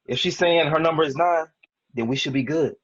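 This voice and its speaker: A man's voice: on the high side, a little fast, highs cut off, lightly acted. A man playing a defensive, frustrated young husband in a dramatic table read, arguing in a colloquial way. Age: young adult. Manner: argumentative, colloquial.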